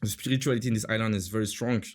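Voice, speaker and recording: A man's voice: fairly low pitch, fairly even intonation, very fast. A man in his thirties from France with a French accent, chatting casually as an interview guest, searching for words with many fillers and restarts.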